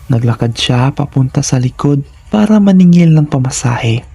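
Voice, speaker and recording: A man's voice: mid-range voice, lively intonation, moderate pace, creaky, warm tone, slightly rough. A man reading a scripted first-person horror story in a dramatic, suspenseful manner.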